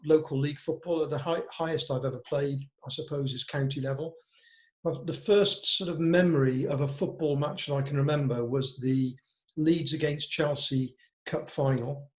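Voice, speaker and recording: A man's voice: medium pitch, darkish tone. An older man from Cardiff reminiscing in a casual interview, chatty and full of anecdote, recorded with a roomy echo. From Cardiff, Wales; born in Devon. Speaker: interview guest, walking football manager. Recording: roomy, echoing sound.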